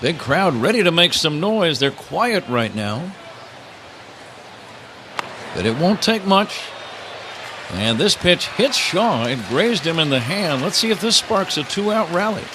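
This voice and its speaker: A man's voice: fairly high pitch, a little slow, pauses often, noisy background. A man calling a baseball game live on the radio as the play-by-play announcer, with the ballpark behind him. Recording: steady ballpark ambience behind the voice. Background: outdoor ballpark ambience.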